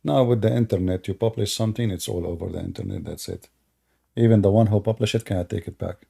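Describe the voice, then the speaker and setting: Middle-aged Arabic-accented male host, deep and raspy, mocking. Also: fast, warm tone. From Middle East. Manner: gravelly, dismissive, laughs often. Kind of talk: live stream chat.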